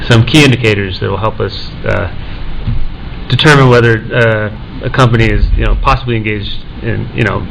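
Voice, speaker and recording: A young man introducing himself on a conference panel as an assistant professor, talking in a halting way full of "uh" fillers and restarts. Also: lowish voice, creaky, a bit bright, a little hiss or hum, rough recording.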